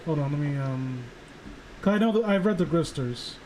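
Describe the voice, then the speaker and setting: A man chatting casually as a co-host on a livestream, halting and often repeating the starts of his phrases. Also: on the high side, animated, a little mellow, slight background noise, poor audio. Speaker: co-host of a story critique stream.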